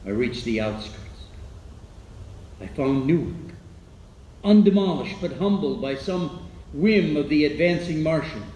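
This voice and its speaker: An actor playing a weary, frightened survivor of an alien invasion in a halting dramatic radio monologue. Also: male voice, on the high side, expressive, dark tone, echoey room, low-quality recording. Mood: haunted, wary. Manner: halting, hesitant delivery with stammered repeats.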